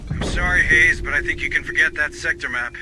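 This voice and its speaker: A man's voice: fairly high pitch, fairly quick, background noise, close to an everyday voice. A man playing a wry marine sergeant. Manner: dry, sarcastic, commanding. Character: wry gruff male marine sergeant, middle-aged. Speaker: marine sergeant leading a squad. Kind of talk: video game dialogue.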